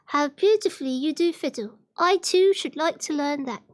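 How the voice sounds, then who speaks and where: An eager little hare from a fairy tale, played by a woman reader. It speaks high and sweetly flatters, keen to obey. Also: normal speed, natural voice. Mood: admiring.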